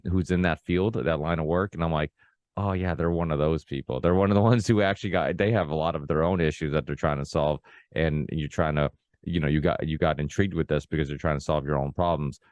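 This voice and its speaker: A man's voice: low-pitched, quick pace, a little mellow, dry recording. A Portuguese-born man of about forty, a native Portuguese speaker, hosting a casual podcast conversation and rambling with many restarts. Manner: rambling, full of restarts and "you know, like". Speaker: podcast host. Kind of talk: casual long-form conversation.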